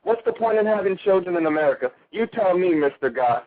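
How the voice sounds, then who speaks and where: Male caller with an Italian accent, crude and heated, tripping over words; high-pitched and phone-thin. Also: lively intonation, fast, slight background noise. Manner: ranting, crude and heated, with stumbles. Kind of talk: call-in radio rant. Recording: tinny phone line.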